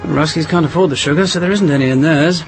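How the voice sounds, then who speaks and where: Actor as a urbane English husband, highish voice. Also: male voice, quick pace, music in the background, close to an everyday voice. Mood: coaxing, grave. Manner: urbane, old-fashioned, hesitant when coaxing. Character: suave English diplomat spymaster husband, jocular, persuasive. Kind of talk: radio drama acting. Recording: clear noise behind the voice.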